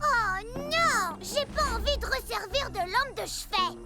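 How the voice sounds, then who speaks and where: A little cartoon girl piglet, naive and candid. Her tiny, very high voice takes its time over each childlike phrase, with music clearly behind. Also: expressive, measured pace, exaggerated voice. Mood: dismayed. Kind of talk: cartoon voice acting.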